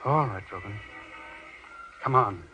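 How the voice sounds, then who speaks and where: A man's voice: lowish voice, a little slow, sharp tone, soft background music. A man playing an earnest, insistent doctor in golden age radio horror acting, commanding and theatrical. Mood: grave. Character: earnest, urgent doctor pressing a frightened man. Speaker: actor in a radio horror drama. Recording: dull lo-fi old radio recording.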